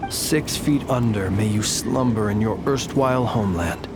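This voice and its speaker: A man voicing a courtly lantern-bearer who lays ghosts to rest, speaking in a formal, measured, poetic way. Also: on the low side, over loud music, lightly acted. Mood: solemn. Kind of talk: video game voice acting.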